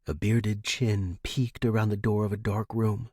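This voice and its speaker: Clear, dry-recorded man narrating fiction. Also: lowish voice, little pitch movement, unhurried, darkish tone, no room sound. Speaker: audiobook-style fiction narrator. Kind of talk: dramatic scripted story narration.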